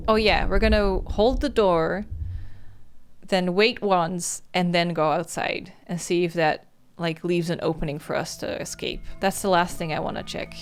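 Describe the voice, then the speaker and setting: A woman game streamer on a livestream playthrough, reading and talking through a visual novel in an expressive storytelling way. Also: lowish voice, normal speed, a little hiss or hum.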